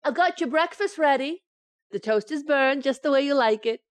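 A woman playing a teasing, quick-witted housewife in an old-time radio sitcom, with wry comic timing. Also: high voice, expressive, no echo. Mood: bright, teasing. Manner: wry, sharp comic retorts.

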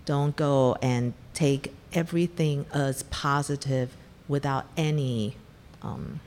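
Low-voiced woman from Taiwan. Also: slow, a little mellow, in a large hall, a little hiss or hum. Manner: candid, storytelling, gives blunt advice. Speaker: venture investor, interview guest. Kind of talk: conversational interview.

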